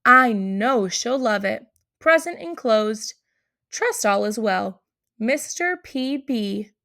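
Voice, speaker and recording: A woman's voice: a little high, expressive, slow, bright, forceful tone, full character voice. A woman voicing a giant, earnest male polar bear in a children's storybook, with clipped phrasing. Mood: proud, earnest. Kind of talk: children's storybook read-aloud.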